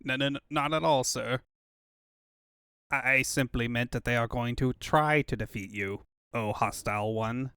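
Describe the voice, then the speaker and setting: A man voicing a meek, sly villain's henchman in a comic dub, quiet, deferential and apt to stammer. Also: medium pitch, unhurried, smooth, dry recording. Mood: obsequious. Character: meek, sycophantic male henchman, sly underling.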